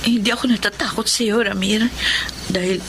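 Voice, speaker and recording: A woman's voice: mid-range voice, fairly even intonation, a little fast, noisy background, close to an everyday voice. A Filipino woman playing a mysterious, sorrowful young woman in a radio horror drama, guarded and melancholy. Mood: sad, distant.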